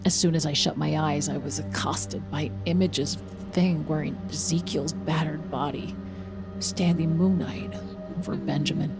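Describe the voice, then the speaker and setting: A woman's voice: sits low, fairly even intonation, moderate pace, vocal fry. An actress playing a haunted, desperate mother in an audio drama, earnest, hesitant and strained. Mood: haunted narration. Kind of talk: audio drama acting with first-person narration. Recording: music under the voice.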